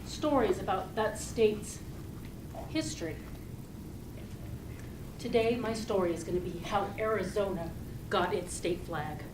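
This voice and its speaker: A woman giving a rehearsed storytelling speech to an audience, speaking deliberately and expressively with pauses. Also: medium pitch. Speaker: club speaker giving a tall tale speech. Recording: roomy, distant mic with loud noise.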